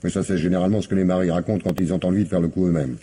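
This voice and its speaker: An actor playing a gruff, impatient police investigator in a French radio mystery, brusque and grumbling, with hesitations. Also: male voice, sits low, fairly even intonation, racing, dark tone. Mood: wry, musing.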